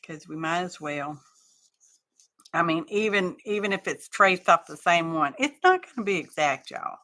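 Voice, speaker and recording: A woman's voice: lowish voice, expressive, normal speed, fairly bright tone. A middle-aged woman hosting a craft live stream, chatting casually and rambling to her viewers as she works.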